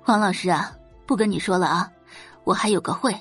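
A woman voicing a brisk, businesslike school teacher. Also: medium pitch, fairly bright tone, breathy edge, soft background music.

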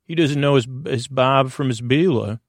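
A man with a creaky voice hosting a sleep podcast, meandering through halting, self-deprecating tangents. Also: medium pitch, no echo, studio quality. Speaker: podcast host. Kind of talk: rambling bedtime sleep podcast monologue.

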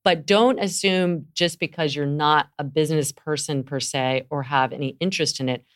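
A woman's voice: low voice, fairly even intonation, moderate pace. A middle-aged woman hosting an interview, talking in an enthusiastic, chatty way and laughing easily. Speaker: podcast host, yoga teacher. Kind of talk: conversational interview.

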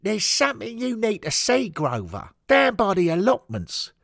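Man doing a loud, blunt hooligan steward. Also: on the low side, huge pitch swings, takes it slowly, husky, no echo, studio quality, pitched above the performer's own voice, full character voice. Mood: urgent. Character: gruff, menacing unreformed hooligan steward.

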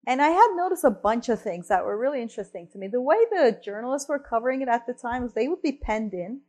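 A woman's voice: a little high, animated, fast, a little mellow. A woman from Turkey, a researcher and columnist, giving a lecture-style talk, thinking aloud with frequent "right?" and restarts.

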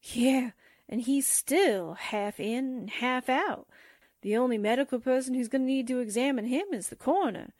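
A woman's voice: high voice, normal speed, a little mellow, no echo, clean studio sound. A woman acting a feisty, wisecracking newspaper sidekick in a 1940s-style radio drama, breezy and sardonic. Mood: wry.

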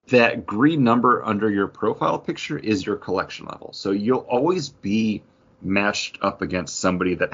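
A man with a fairly low, raspy voice talks as a podcast host. He explains things casually and thoroughly, with enthusiasm. The recording is a little dull and lo-fi. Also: a bit bright.

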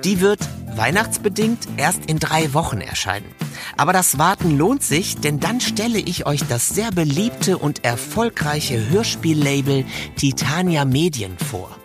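A man's voice: fairly high pitch, very animated, unhurried, music in the background. A man presenting a podcast in a scripted, direct-address style. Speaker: podcast host.

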